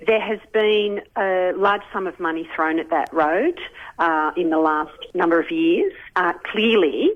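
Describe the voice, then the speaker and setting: A phone-like, narrow recording of a woman with an Australian accent. She speaks in a fairly low pitch, giving a politician's interview comment. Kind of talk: spoken news interview comment. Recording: tinny phone-like radio clip.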